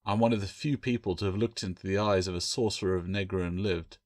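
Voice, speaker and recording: A man voicing a grim, wary pirate captain in a fantasy audiobook, measured, ominous and firm. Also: low voice, steady tone, a little mellow, lightly acted. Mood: grave, wary.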